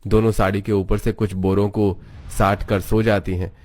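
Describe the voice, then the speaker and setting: A man narrates a scripted cartoon story. His pitch is on the low side. Faint music plays underneath. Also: little pitch movement, moderate pace, darkish tone, dry recording, clean studio sound.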